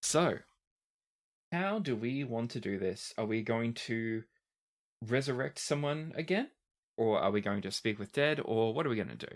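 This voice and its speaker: A man with a medium-pitched voice runs a tabletop roleplaying game. He narrates scenes and explains rules in a deliberate way, as a game master does. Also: expressive.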